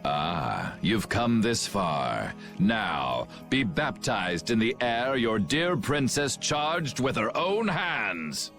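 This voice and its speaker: A deep, gloating villain. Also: male voice, very animated, takes it slowly, few pauses, a bit bright, exaggerated voice. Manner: cold, gloating, mocking laughter. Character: scheming ruthless male commander, middle-aged. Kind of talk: game cutscene voice acting. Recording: game audio with music behind.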